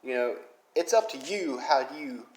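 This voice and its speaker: A man's voice: mid-range voice, expressive, unhurried, echoey room, low-quality recording. A man with a strong Southern Alabama accent, talking in a casual rambling monologue, full of "you know" fillers and getting fired up. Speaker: mobile game player.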